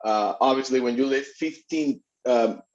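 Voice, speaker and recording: A middle-aged man from Rico, telling his story as an interview guest in a halting way with many restarts. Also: medium pitch, darkish tone. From Puerto Rico. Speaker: immigration attorney and guest. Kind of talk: conversational interview. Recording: dull lo-fi sound.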